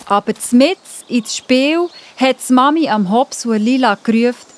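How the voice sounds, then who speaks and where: A woman with a Swiss German accent narrating a children's Bible story, expressive and speaking directly to young listeners. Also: on the high side, a little slow. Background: music.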